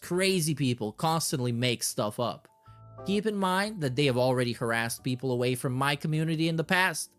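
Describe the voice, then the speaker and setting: Guy streamer reading stuff out n mocking it, swears a lot, highish voice, music behind him. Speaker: streamer and commentator. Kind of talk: casual reaction commentary, reading messages aloud.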